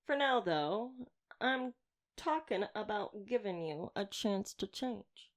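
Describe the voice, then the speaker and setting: A woman's voice: medium pitch, expressive, slow. A woman playing a wry, playfully menacing young vampire slayer in an ASMR roleplay monologue, chatty, teasing and theatrical.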